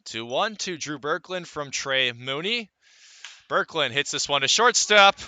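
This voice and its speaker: A man giving live radio play-by-play of a baseball game, calling it in measured, deliberate phrases with pauses. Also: a little high, lively intonation, pushed and bright, no echo. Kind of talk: live radio sports commentary.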